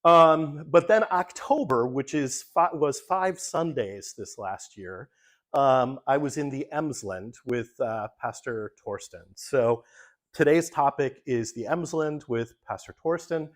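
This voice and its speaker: Man, pastor, casual church forum talk, relaxed, lots of ums, mid-pitched voice. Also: takes it slowly. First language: English. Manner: relaxed, many ums, talks through slides. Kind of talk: informal church forum presentation.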